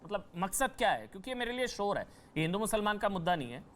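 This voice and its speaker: A man's voice: high voice, big pitch swings, fast, a bit bright. A man hosting a heated TV news debate, firing insistent, repeated questions and cutting in. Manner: insistent, repetitive, interrupting questioning. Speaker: TV debate host.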